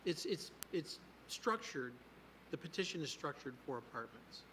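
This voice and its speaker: A man's voice: a little high, sing-song, normal speed, bright, forceful tone, slight background noise. A man serving as a planning commissioner, asking probing, slightly hesitant questions at a public meeting.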